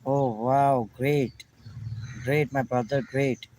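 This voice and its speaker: A man from Pakistan with a Pakistani accent, chatting in simple, halting English, repeating friendly phrases and greetings. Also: medium pitch, steady tone, warm tone, a little hiss or hum. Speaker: vlogger chatting with strangers. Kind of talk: casual friendly chat.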